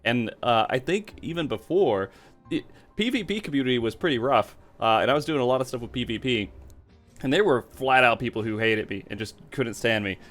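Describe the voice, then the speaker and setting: A man's voice: mid-range voice, expressive, fairly quick, fairly bright tone, faint music behind. A man, a content creator, chatting casually on a podcast in an easygoing way and laughing often.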